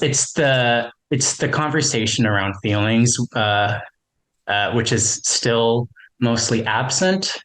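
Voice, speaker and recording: A man's voice: lowish voice, a bit bright, poor audio. A man who stutters, sharing a personal reflection as a webinar panelist, with frequent repeats and fillers.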